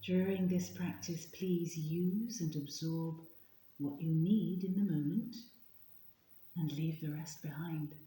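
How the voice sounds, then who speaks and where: A woman leading a guided yoga nidra meditation in a gentle, soothing, hushed manner, leaving long pauses. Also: low-pitched, fairly even intonation. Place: indoor room at a retreat, live session. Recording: roomy echo, faint background noise.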